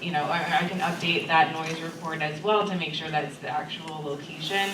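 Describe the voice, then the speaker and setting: A woman's voice: low voice, fairly even intonation, fairly quick. A woman representing a project, answering questions from residents in a hesitant, hedging way. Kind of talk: answering questions at a public meeting. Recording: echoey room, far from mic, noisy.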